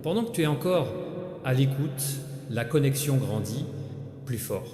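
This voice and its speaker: A man narrating a scripted inspirational spiritual message straight to the listener, solemn and halting, in short broken phrases. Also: medium pitch, lively intonation, dark tone, soft background music. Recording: echoey hall with long reverb.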